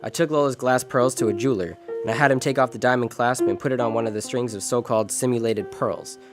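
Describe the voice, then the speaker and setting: An actor playing a world-weary, decent American private detective in an old-time radio drama, wry and clipped. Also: male voice, medium pitch, fast talker, over loud music, close to an everyday voice. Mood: wry narration. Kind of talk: 1940s detective radio drama, first-person narration and dialogue.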